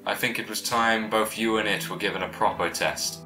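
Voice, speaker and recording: An actor playing a cold, calculating male villain in command, speaking in a measured, unruffled way in an audio drama. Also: on the low side, steady tone, poor audio. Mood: calm, commanding. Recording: loud music behind the voice.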